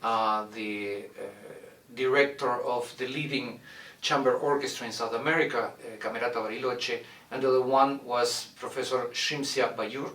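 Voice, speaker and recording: A man's voice: medium pitch, steady tone, fairly bright tone, distant mic. Man speaking in a roomy echo. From Argentina. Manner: measured, frequent "uh" pauses while searching for words. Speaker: violin professor and orchestra director. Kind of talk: recorded introduction to his studio. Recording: roomy echo, mic set back.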